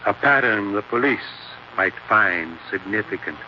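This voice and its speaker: A man plays an aging, sly blackmailer in an old radio play. His low voice is polite, halting and insinuating. The recording is dull with faint noise. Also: animated, natural voice. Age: older. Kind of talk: 1940s radio acting.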